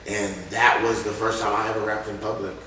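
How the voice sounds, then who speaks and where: A young man with a very low voice tells stories casually, full of slang and replayed bits of conversation. He sounds like a rapper in an interview, picked up from a distance in a very echoey room. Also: flat delivery, brisk, sharp tone. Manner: slangy, acts out remembered dialogue. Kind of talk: casual anecdotal interview talk. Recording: echoey room, distant mic, faint noise.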